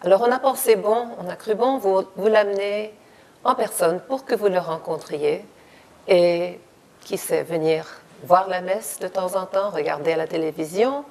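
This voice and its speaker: A woman hosting a community TV interview, talking in a warm, enthusiastic, chatty way. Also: lowish voice. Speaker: TV host.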